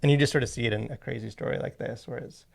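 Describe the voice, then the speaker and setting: A man with a medium-pitched, creaky voice co-hosts a casual podcast. He talks in a loose, rambling, conversational way, circling through his opinions as a movie reviewer with plenty of filler words. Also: steady tone, racing. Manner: rambling, filler-heavy, frequent "like".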